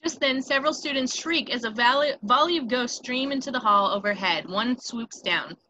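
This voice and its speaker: Bright-voiced woman narrating a script. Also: a little high, normal speed, lo-fi sound, a little hiss or hum. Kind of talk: scripted narration of screen directions.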